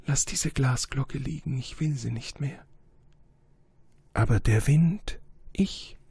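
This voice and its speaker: A man's voice: medium pitch, takes it slowly, vocal fry, dark tone, slightly rough, dry recording, voice pushed up, heavily acted. A man voicing a proud, delicate female flower in a storybook reading, speaking in a fragile, half-whispered plea. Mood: tender.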